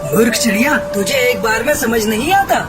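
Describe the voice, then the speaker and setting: A woman voicing a gruff, scolding male crow with a brusque, commanding manner in a Hindi animated story. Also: fairly high pitch, a little fast, lightly acted. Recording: music behind the voice.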